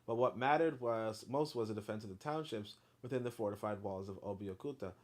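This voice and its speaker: A man reading a history book aloud, then breaking off into animated, blunt commentary with profanity and lots of fillers. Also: on the low side, fairly quick.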